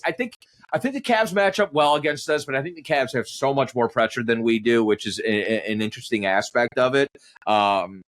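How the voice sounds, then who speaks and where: Male, sports commentator in conversation. Mid-range pitch, measured, reflective delivery with emphasis on key points. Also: a bit bright. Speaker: sports radio play-by-play announcer, podcast guest.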